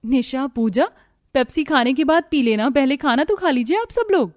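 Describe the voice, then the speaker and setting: Woman playing young cartoon daughter-in-law, high voice, well-meaning but fed up. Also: expressive, moderate pace. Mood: earnest.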